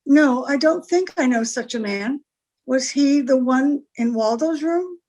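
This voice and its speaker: A woman's voice: sits high, lively intonation, measured pace, a little mellow. An actress playing a wistful, anxious married woman in an old-time radio drama, speaking in a plaintive, earnest way. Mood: anxious, puzzled.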